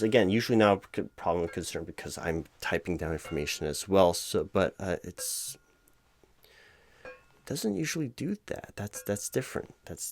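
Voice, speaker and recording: A man playing a flustered, distracted, eager corporate hiring manager who rambles and stammers in a comedic job interview roleplay. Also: sits low. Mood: distracted, apologetic. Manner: halting, stammering, easily sidetracked, apologetic.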